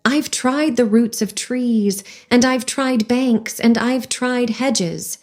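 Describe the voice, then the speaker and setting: Storybook pigeon hen, shrill and scornful, voiced by a woman reader. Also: slow pace, faint music behind. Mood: complaining. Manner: shrill, sobbing complaints. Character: fretful contemptuous female pigeon, shrieking.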